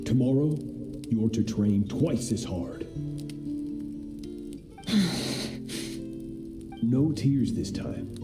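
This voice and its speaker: An actor playing a cold, menacing ancient master of the abyss, speaking slowly and gravely. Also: male voice, medium pitch, expressive. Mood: stern. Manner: slow, grave, threatening delivery. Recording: echoey game audio with music.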